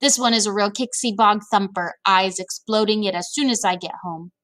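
A woman voicing a big, kindly, excitable male giant in a storybook, with exclamations, muddled grammar and invented words. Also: medium pitch, fairly quick, natural voice. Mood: agitated.

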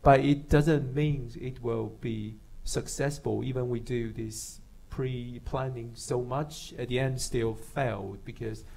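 A man speaking haltingly with non-native phrasing on a panel. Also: medium pitch, fairly even intonation, vocal fry, a little mellow, poor audio. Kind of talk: panel discussion. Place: large dark auditorium stage.

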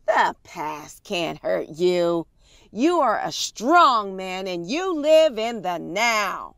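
Woman acting a slow, creaky-voiced reassuring woman. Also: mid-range voice, very animated, fairly bright tone, no echo, clean studio sound, full character voice. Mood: soothing. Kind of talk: radio drama acting.

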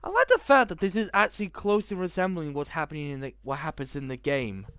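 A young man with a headset mic in a small bedroom, reading a visual novel aloud in a hushed voice and breaking into loud reactions. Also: a little high, big pitch swings, quick pace, smooth, no echo, clean studio sound. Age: young adult. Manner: reads narration in a hushed tone, reacts with loud outbursts. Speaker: gaming video host.

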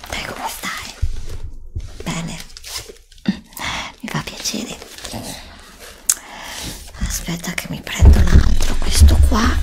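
A woman playing a curious, stubborn student in a library, muttering and chatting in a whispered ASMR roleplay. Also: lowish voice. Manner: muttering to herself, chatting to an unseen companion.

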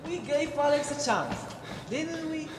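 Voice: high voice, expressive, measured pace. An amateur actor voicing a sinister, mock-polite murderous twin in a goofy, theatrical way for a horror game mod. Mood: mock-polite menace. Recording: echoey, reverberant, noisy game audio.

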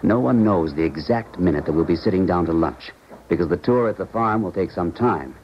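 Slightly noisy, muffled old radio sound frames a male scientist in a defection drama. His voice is low, with precise, educated wording. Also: animated, a little fast. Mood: assured, precise. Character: cultured, uneasy male scientist helping a colleague defect. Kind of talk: 1970s American radio drama acting. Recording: muffled 1970s radio recording, faint noise.

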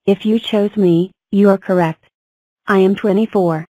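Mid-pitched female cartoon voice, even read, dry. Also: a little slow, no echo, poor audio. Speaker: voice of number characters. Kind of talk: fan cartoon voice-over.

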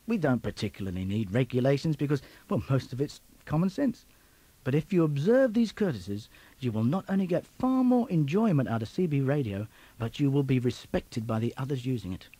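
A man narrating an instructional tape from a script in a clear, emphatic, instructive way. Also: medium pitch, dark tone, no echo.

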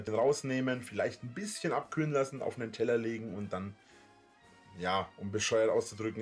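Male actor as rambling food-minded boy, lowish voice, hesitant, soft music behind. Parody audio play. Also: natural voice. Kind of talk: audio drama parody acting.

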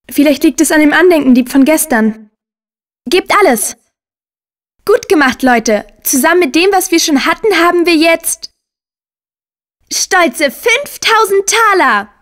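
A woman playing a friendly, upbeat teenage girl in a dubbed video game, with an earnest, expressive delivery. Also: sits high. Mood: excited, cheering. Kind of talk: German video game dubbing.

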